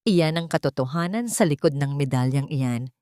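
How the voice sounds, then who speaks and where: A scornful older Filipino matriarch, as a woman narrator puts her on in a story drama. She speaks low and cuttingly, with domineering contempt. The sound is dry and close. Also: expressive, moderate pace, mellow, dry recording. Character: scornful, domineering older mother-in-law shaming a policewoman.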